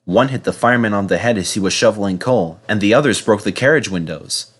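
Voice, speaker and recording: A man reading a children's story aloud in an animated, scripted narration style. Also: on the low side, quick pace, slightly rough. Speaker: storybook reader.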